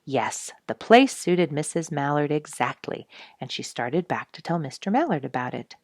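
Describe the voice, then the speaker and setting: A woman reading a children's story aloud as narrator, with expressive storybook phrasing. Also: low voice, moderate pace, no echo, studio quality.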